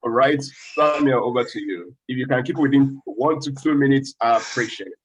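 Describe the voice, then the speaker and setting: A man's voice: mid-range voice, a little fast, lo-fi sound, slight background noise. A man hosting an online panel discussion on a video call, encouraging speakers and keeping things moving. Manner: encouraging, wraps up speakers briefly. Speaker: host.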